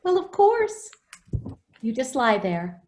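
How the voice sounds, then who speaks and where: A woman playing a caring, expressive guardian of a child in a radio play. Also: fairly high pitch, slow, a little hiss or hum. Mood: tender. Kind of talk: radio play acting and storybook reading. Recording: echoey room.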